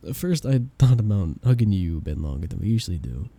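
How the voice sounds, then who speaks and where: Man acting as a dark, husky, lovestruck best friend. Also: deep voice, big pitch swings, full character voice. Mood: tender, earnest. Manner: hesitant, halting, tender. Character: shy young man confessing love to his best friend. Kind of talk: intimate ASMR romance roleplay.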